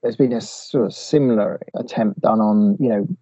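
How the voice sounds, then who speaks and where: A man's voice: fairly low pitch, normal speed, warm tone, husky, no room sound, highs cut off. A man answering interview questions, hesitant, with many restarts and fillers. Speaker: guest expert.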